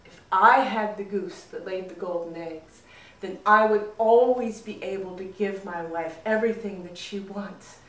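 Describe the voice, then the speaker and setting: A woman's voice: mid-range voice, moderate pace, a bit bright, close to an everyday voice. A woman voicing an anxious, lovesick young married man in a folk story, earnest and pleading. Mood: wistful, frustrated. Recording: roomy echo, distant mic.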